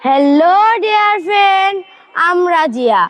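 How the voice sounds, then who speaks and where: Slow, very high-pitched Bangladeshi schoolgirl. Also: animated, a bit bright, some chatter behind. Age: young teenager. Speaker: student reciter. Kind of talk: poem recitation.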